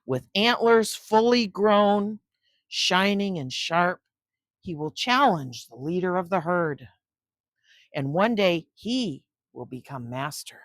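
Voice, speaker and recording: An older woman hosting story time for children, reading a picture book aloud and chatting gently to young listeners in between. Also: on the low side, animated, slow, dry recording. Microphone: close microphone.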